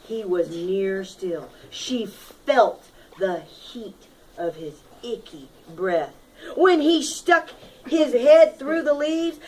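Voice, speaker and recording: A woman teacher reading a picture book aloud to her class in an expressive, dramatic manner, leaning on key words and prompting children to repeat. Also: high-pitched, slight background noise, low-quality recording. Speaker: primary school teacher.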